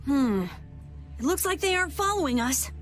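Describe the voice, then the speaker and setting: A woman's voice: high voice, lively intonation, normal speed. A woman playing a composed, observant young adventurer in a video game. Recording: music under the voice.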